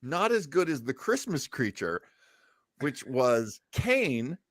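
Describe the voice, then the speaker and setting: A man's voice: mid-range voice, very animated, takes it slowly, fairly bright tone, dry recording. A man hosting a casual chat, talking in a jokey, theatrical and effusive way. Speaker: host.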